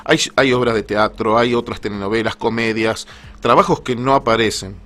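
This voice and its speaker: A man's voice: on the low side, fairly even intonation, moderate pace, a bit bright. A man with an Argentine accent hosting a conversational radio interview, agreeable and often repeating short affirmations. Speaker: radio host interviewing a guest.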